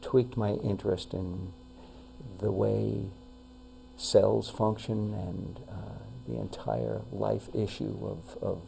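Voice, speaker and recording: An older man with a New York accent, a retired pastor talking as an interview guest, measured and full of fillers and restarts. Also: fairly low pitch, level tone, vocal fry, mellow, slight background noise. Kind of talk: casual interview talk.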